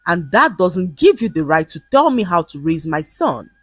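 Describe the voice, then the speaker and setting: A woman's voice: fairly low pitch, very animated, normal speed, breathy edge, no room sound, faint music behind. A woman storyteller voicing a rich, indulgent mother who is haughty, angry and cutting. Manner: haughty, sharp, cutting, dismissive. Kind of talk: dramatised quotes in narrated folktale storytelling.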